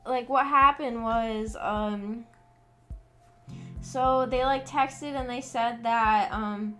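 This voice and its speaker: Casting: female livestreamer, high voice, casual rambling chat with a self-conscious edge, music playing behind. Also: fairly even intonation, takes it slowly, fairly bright tone. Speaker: livestream host. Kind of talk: casual chat with stream viewers. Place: at home in her room. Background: background music.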